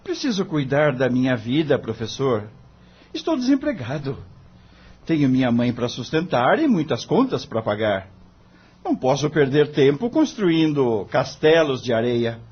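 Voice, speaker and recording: A man with a Brazilian accent playing an earnest, disheartened young lawyer in an audio drama, speaking formally and plaintively with a husky voice. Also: mid-range voice, big pitch swings, moderate pace, muffled recording. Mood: discouraged. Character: earnest, disheartened young male lawyer seeking his mentor's advice.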